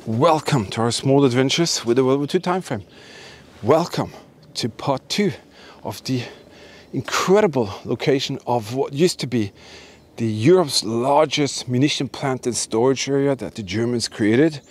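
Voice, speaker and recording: A man's voice: medium pitch, measured pace. Enthusiastic man exploring forest ruins. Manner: enthusiastic, emphatic, repeats words. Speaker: host of history exploring channel. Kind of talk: walkabout narration to camera. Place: outdoors in a forest and ruined buildings.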